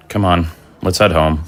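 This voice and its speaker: A man acting a weary, level-headed middle-aged father in an audio drama, speaking in a measured, plain way. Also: low voice, heavily acted.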